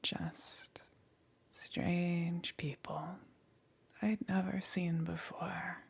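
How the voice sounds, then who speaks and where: A woman playing a powerful demon queen, gentle yet calmly menacing, in a breathy whispered ASMR roleplay with long pauses. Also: fairly low pitch, full character voice. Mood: wistful, matter-of-fact. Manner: slow, soft, coaxing, with long pauses.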